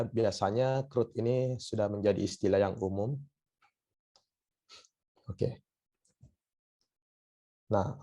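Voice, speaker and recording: A hoarse man lecturing a university class over an online call, his voice a little low and dark, with a dull lo-fi sound. He is coughing a bit and often stalls on filler sounds as he explains. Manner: hesitant, many filler sounds.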